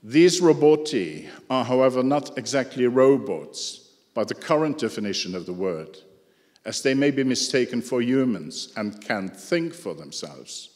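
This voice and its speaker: A man's voice: medium pitch, animated, darkish tone. Man lecturing in an echoey hall. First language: not English. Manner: measured, dryly ironic, occasional asides. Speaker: speaker giving a talk. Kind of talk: prepared lecture with slides. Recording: echoey hall with long reverb.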